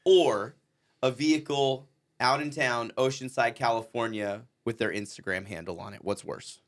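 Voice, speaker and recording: Man co-hosting relaxed, joking banter. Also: mid-range voice, normal speed. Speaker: podcast co-host. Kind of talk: casual banter.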